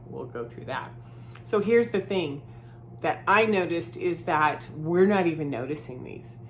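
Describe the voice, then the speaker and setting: A woman with a slightly low voice who sounds groggy from a head cold. She talks in a loose, chatty way, with plenty of "um" and "right?". There is a faint hum behind her. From Southern California. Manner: chatty, filler-heavy, often adds "right?". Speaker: vlogger. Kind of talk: casual spoken vlog. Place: her new home, indoors.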